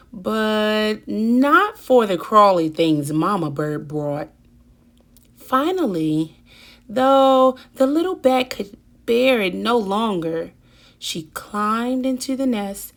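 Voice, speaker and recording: A woman reading a children's picture book aloud with deliberate, dramatic emphasis. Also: medium pitch. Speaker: children's story reader.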